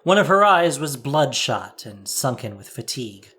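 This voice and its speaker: A man's voice: mid-range voice, big pitch swings, moderate pace, sharp tone, breathy edge. A man narrating a scripted audio drama in a dramatic storytelling style.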